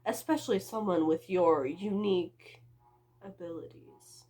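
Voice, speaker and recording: A woman's voice: fairly low pitch, measured pace, dark tone, breathy edge. A woman reading the part of a smug, mysterious young man in a dramatic storybook manner.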